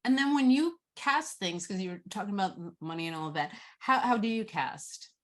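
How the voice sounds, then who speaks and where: A woman moderating an online Q&A informally, thinking aloud in a halting way as she puts questions. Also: medium pitch, expressive, fairly bright tone. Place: online video call.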